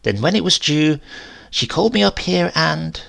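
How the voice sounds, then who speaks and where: A man's voice: a little high, lively intonation, moderate pace, gravelly, a bit bright, pitched above the performer's own voice, full character voice. A man voicing a chatty, defensive landlady of nearly seventy in a horror story reading, rambling and confiding in colloquial phrases. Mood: gossipy, insistent. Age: older.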